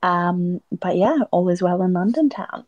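A woman's voice: fairly low pitch, animated, unhurried, a little mellow, no echo, dull audio. A woman, chatting on a podcast in an easygoing, cheerful way. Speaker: podcast co-host. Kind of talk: casual podcast chat.

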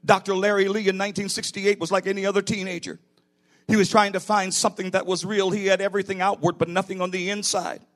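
A man's voice: sits high, a bit bright. A man preaching a sermon as a pastor, emphatic and declarative, appealing directly to his listeners. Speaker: pastor, bishop preaching to own church.

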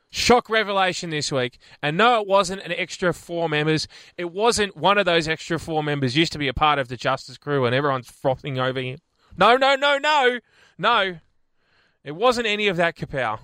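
Radio co-host, mid-pitched voice, dry close sound, teasing and playful. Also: lively intonation, normal speed, pushed and bright, smooth, no room sound. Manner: teasing, matter-of-fact, playful. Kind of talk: casual radio banter.

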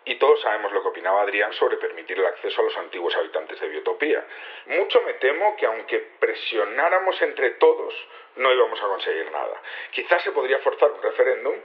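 Actor as measured plot leader, thin radio sound. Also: male voice, on the low side, lightly acted. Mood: grave. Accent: Castilian Spanish from Spain. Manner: measured, formal, addressing a gathering. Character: calm, resolute male leader of a secret plot. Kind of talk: Spanish audio fiction acting. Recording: muffled, band-limited radio-like recording.

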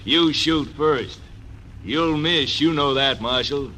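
A man's voice: on the high side, takes it slowly, sharp tone, poor audio. An actor playing a sneering young gunman in a 1940s radio drama, taunting with a giggling menace. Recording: old radio broadcast, faint hiss.

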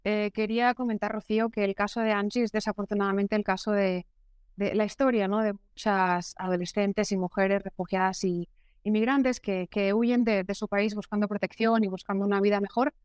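Earnest woman, smooth clear voice. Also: mid-range voice, steady tone, darkish tone, no room sound, clean studio sound. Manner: measured, earnest, explanatory. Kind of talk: interview answer.

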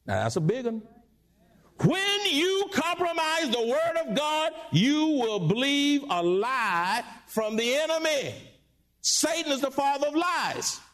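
A male pastor preaching a sermon in church, with call-and-response and sudden high-pitched asides. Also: very animated, slow, a bit bright. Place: church sanctuary.

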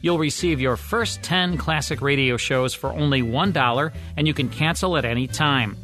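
A man's voice: on the high side, moderate pace, fairly bright tone, faint music behind. A man hosting a classic radio podcast, reading scripted narration and promos in a polished presenter's manner. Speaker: podcast host.

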